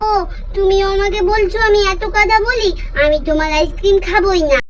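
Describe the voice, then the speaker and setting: A woman's voice: very high-pitched, a little fast, a little hiss or hum, voice pushed up. A woman voicing a demanding, chatterbox little girl doll in a story, with a whiny, pouty, childish manner.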